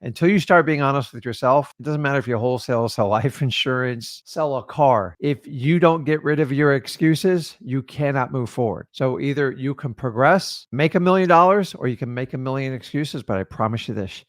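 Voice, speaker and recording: A man's voice: medium pitch, moderate pace, few pauses, no echo. A man with a mid-range voice co-hosts a webinar in a blunt, no-nonsense way. The recording is dry, with hardly any room sound. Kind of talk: motivational webinar talk.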